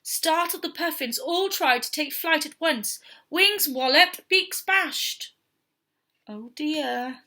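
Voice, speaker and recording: A woman reading a story aloud to young children in an expressive, theatrical storytelling style. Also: high voice, slow pace. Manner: theatrical, with whispers and sound effects.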